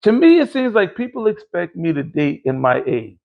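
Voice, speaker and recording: A man's voice: sits high, very animated, moderate pace, creaky, narrow, tinny audio. A man in his late forties hosting a podcast, telling a long, rambling, profane story in casual chat. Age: 40s. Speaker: podcast host.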